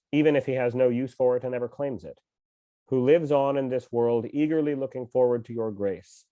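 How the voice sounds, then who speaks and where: Guy reading scripture aloud, a bit under the weather w a cough, mellow voice, dry dull home mic. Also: medium pitch, no room sound. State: mildly ill with a cough. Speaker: scripture reader and teacher.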